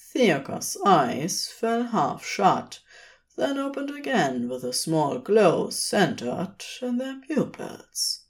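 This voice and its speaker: A woman reading a scripted fantasy story aloud as its narrator. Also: medium pitch. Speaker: podfic narrator.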